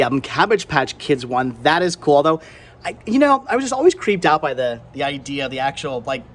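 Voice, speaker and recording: Higher-voiced American man, chatty and nostalgic. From Pennsylvania, United States. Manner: enthusiastic, nostalgic, stammering repeats. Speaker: host of a thrifting vlog. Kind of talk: casual rambling vlog chat. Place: flea market, among vendor stands. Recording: clear background noise, flea market ambience.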